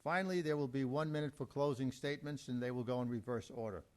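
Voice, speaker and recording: A man's voice: medium pitch, fast talker. A man acting as moderator at a candidate forum, making formal introductions and explaining procedure.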